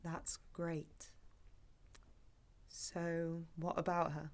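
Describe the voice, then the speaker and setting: Audio drama role: guarded woman placating a clingy date, slightly low voice with vocal fry, calm firmness. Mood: gentle, cautious. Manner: measured, firm but gentle. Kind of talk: naturalistic audio drama acting.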